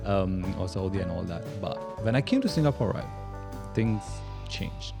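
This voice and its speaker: A man's voice: low voice, animated, moderate pace, creaky, over music. A man from Pakistan hosting a casual podcast chat, joking and laughing often as he talks in loose, run-on sentences. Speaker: podcast host.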